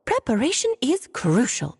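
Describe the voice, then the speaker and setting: A woman's voice: sits high, huge pitch swings, moderate pace, vocal fry, slightly rough, soft background music, heavily acted. A woman playing a playful, breezy, cheerfully scheming witch aunt in a video game, airy and theatrical. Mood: breezy explaining.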